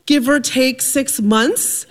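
A woman's voice: high-pitched, fairly even intonation, slow. A middle-aged woman telling a humorous, self-deprecating personal story on stage before a live audience.

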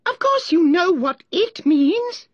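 A man's voice: extremely high pitch, sing-song, slow, no echo, dull audio, voice pushed up, exaggerated voice. A man voicing a self-important, easily offended mouse who lectures in a prim, pompous way. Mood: prim, testy.

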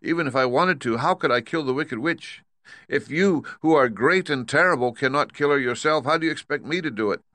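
A man's voice: fairly high pitch, a little fast, no room sound, pitched above the performer's own voice, natural voice. A man voicing a tearful little girl. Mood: pleading. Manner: soft, pleading. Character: young girl, gentle, tearful and pleading.

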